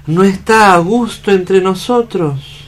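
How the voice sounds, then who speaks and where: Radio play casting: a kindly doctor, hesitant and composed, with unhurried delivery. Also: male voice, high-pitched. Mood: gentle, questioning. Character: calm, hesitant, kindly male doctor running a refuge. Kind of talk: dramatic staged radio reading.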